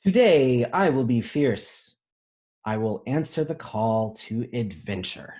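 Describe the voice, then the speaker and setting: Slow-paced man reading to children. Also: animated, darkish tone, slightly rough. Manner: pauses to pose questions and think aloud; simple explanations pitched at young children. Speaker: elementary school teacher reading aloud. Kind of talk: children's picture book read-aloud with explanations.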